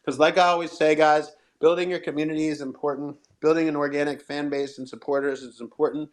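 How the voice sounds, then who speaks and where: A man's voice: a little high, level tone, fast talker. A man hosting a live audio show in an upbeat, encouraging and slightly salesy way.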